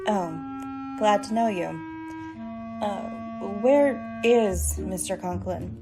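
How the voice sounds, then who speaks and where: An actress playing a brash, skeptical stage dancer in a comedic radio play, sassy and blunt. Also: female voice, mid-range voice, extremely slow, music in the background. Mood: breezy, sassy. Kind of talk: comedic old-time radio play acting.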